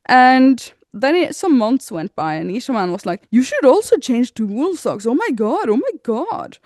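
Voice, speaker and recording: A woman co-hosting a casual podcast chat. She talks in an animated, rambling way, restarts her sentences and laughs often. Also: a little high, normal speed, no room sound, clean studio sound. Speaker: podcast co-host.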